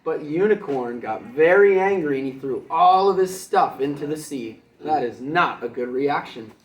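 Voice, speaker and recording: A man who works as a kindergarten teacher reads a storybook aloud for children, pausing to explain it in simple words. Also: on the high side, lively intonation, roomy sound, recorded from a distance, dull audio, slight background noise.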